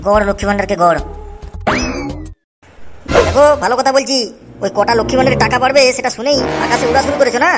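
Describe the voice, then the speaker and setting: A man voicing a disgruntled, coarse husband in an amateur comedy cartoon, grumbling and hectoring, with artificially raised pitch. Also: quick pace, pauses often. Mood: angry, threatening. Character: disgruntled, bitter husband railing at his family. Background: faint music.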